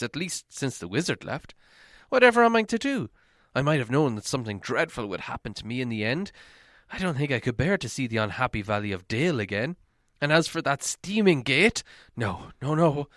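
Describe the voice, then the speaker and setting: A man's voice: medium pitch, big pitch swings, a little fast, dry recording, studio quality, natural voice. A man voicing an anxious, put-upon small male hobbit in a storybook reading, fretting and exclaiming. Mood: fretful, miserable. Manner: fretful, exclamatory grumbling.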